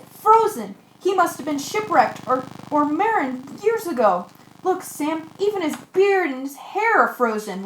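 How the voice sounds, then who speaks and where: In an echoey room, a woman acts out a plucky, practical ship's mate in a radio drama. The delivery is high, slow and theatrical, with a commanding manner. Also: slight background noise. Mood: shocked. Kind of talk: amateur radio play acting.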